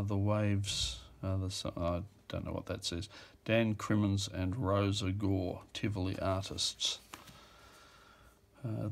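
A man's voice: lowish voice, vocal fry. A man describing auction items in casual, off-the-cuff commentary, musing with fillers and self-corrections, recorded indoors at a table.

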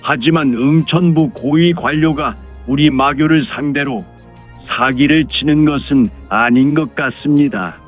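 A fawning, hot-blooded martial clan lord, played by a man, explains things with formal deference in a fairly high voice. It is audio drama with a narrow phone-like sound and music behind it. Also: a little slow, close to an everyday voice. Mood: secretive, earnest. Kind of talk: Korean martial-arts audio drama acting.

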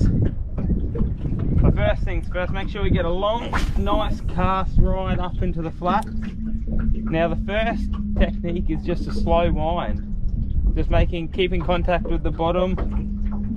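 A man's voice: fairly high pitch, dull audio. A man in his thirties with an Australian accent, filming himself on a boat and showing fishing techniques in an upbeat, instructive way. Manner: enthusiastic, instructive. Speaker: fishing vlogger. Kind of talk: fishing tutorial. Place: small boat on open water outdoors. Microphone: action camera. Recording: loud wind and water noise.

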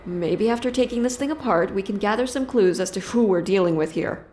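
A woman acts a seasoned female cop with a medium-pitched voice. She is brisk, curt and dry, a no-nonsense officer from an audio drama. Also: animated, darkish tone, faint music behind, lightly acted.

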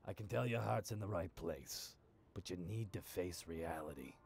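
An actor playing a worn-out, bitter, foul-mouthed older superhero in a gruff, jaded way for an animated parody dub. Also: male voice, sits low, normal speed, slight background noise. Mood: weary, resigned. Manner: jaded, gruff, theatrical outbursts. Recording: music behind the voice.

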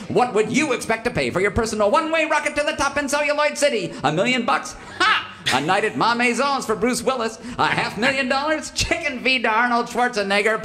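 Brash hard-sell radio huckster, very high, swooping delivery, echoey room. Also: male voice, bright, forceful tone, full character voice. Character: brash, fast-patter Hollywood mail-order school pitchman. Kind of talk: parody radio commercial. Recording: echoey stage, faint noise.

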